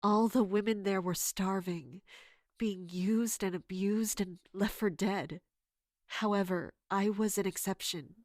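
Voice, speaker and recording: A woman playing a wounded, caring but guarded royal guard captain in an audio drama, speaking earnestly and haltingly. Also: medium pitch, fairly even intonation, no room sound, studio quality, close to an everyday voice. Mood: pained, reluctant. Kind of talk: dramatic audio fiction acting.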